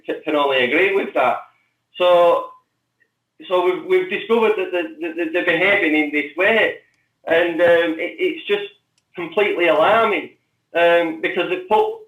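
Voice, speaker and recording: Casting: man recounting events haltingly, higher-than-average pitch, recorded at a distance in an echoey room. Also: a bit bright. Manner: halting, repeats words. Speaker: interview guest. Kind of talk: spontaneous interview account. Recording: roomy echo, distant from mic.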